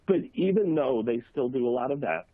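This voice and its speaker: A man with a cold, sounding hoarse, giving a relaxed, conversational webinar talk on a video call with frequent fillers. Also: medium pitch, a little fast, no echo. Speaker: webinar presenter. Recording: tinny phone-like line, dry.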